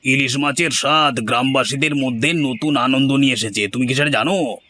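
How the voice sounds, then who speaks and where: A man's voice: mid-range voice, fairly quick, bright, forceful tone. Man playing a curious, appreciative cartoon villager. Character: curious, appreciative male villager visiting a fish fair. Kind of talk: scripted cartoon dubbing.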